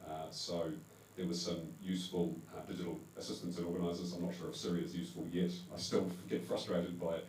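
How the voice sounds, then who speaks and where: Deep-voiced New Zealand man in an echoey hall. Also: flat delivery, quick pace, a little mellow. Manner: conversational, self-correcting with fillers. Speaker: conference panel speaker. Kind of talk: presentation talk to a live audience. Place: event hall. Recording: echoey hall, distant mic, faint hum.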